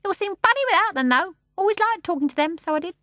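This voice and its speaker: A shrill, jeering male starling, cheeky and boisterous, squawking far above a normal voice, as a woman reads him. He is loud and perky, with a bright, cutting edge to every line. The sound is close and dry, as in a storybook reading. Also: animated, quick pace, no echo, heavily acted. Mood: blustering.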